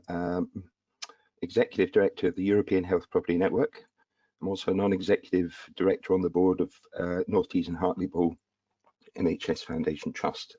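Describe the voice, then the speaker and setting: A man's voice: low voice, warm tone, breathy edge, dry recording. A man co-hosting a webinar, introducing himself and his colleague over a web call. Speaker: executive director, webinar co-host. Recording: dull, distant web call audio.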